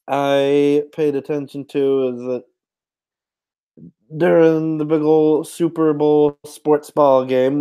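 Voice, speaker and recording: A man hosting a podcast in casual chat, speaking in halting, measured phrases with pauses. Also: mid-range voice, dark tone, clear, resonant tone. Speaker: podcast host.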